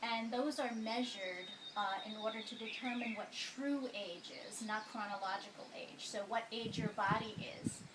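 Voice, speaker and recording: A woman in her fifties speaking calmly and with measure, her voice a little higher than usual. She is captured from a distance in an echoey room. A constant hiss of background noise runs underneath. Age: 50s. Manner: calm, measured explaining. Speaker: interview guest. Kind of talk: conversational interview answers. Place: in her home. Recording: roomy, distant, noisy recording.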